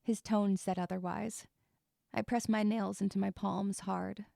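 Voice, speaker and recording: Woman acting anxious young woman with creaky voice. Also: medium pitch, flat delivery, measured pace, mellow, no echo, studio quality. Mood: fearful narration. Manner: hesitant, stammering in dialogue, introspective in narration. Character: anxious, withdrawn young woman narrating her own story. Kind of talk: first-person dramatic narration with dialogue.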